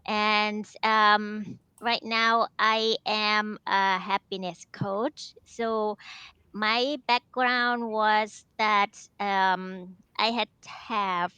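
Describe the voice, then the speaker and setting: A woman's voice: medium pitch, fairly even intonation, very slow, pushed and bright, clean tone, dry recording, highs cut off. A woman with a Thai accent, a happiness coach speaking hesitantly as a guest on a video call. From Thailand. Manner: hesitant, many ums. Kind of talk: casual video call chat.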